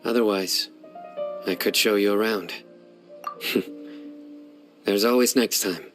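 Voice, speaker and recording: A man's voice: fairly low pitch, slow, over music. A man voicing a reserved young man in a game, speaking tersely and plainly. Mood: relaxed. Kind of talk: game cutscene dub.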